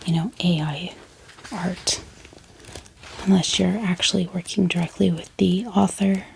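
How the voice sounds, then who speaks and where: A woman speaking in a soft, breathy ASMR whisper, gentle and unhurried, like a book vlogger showing off her collection. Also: fairly low pitch, steady tone, slight background noise.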